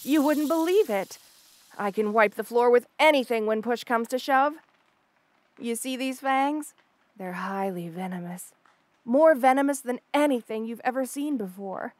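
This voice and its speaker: A woman's voice: a little high, expressive, measured pace, no room sound. A woman voicing an obsessive, jealous lamia monster girl in an intimate audio roleplay, flustered, boastful and gushing, with stammers.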